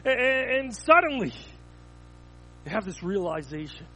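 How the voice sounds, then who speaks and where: A man's voice: high voice, sing-song, moderate pace, pushed and bright, soft background music, low-quality recording. A man preaching a sermon, telling everyday stories as illustrations, with frequent stumbling restarts.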